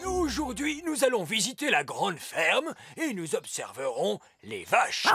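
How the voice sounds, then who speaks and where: Old elf teacher, pompous, fussy, pitch all over the place, cartoon style, faint music. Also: wildly swooping pitch, measured pace, a bit bright, exaggerated voice. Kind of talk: French cartoon dubbing.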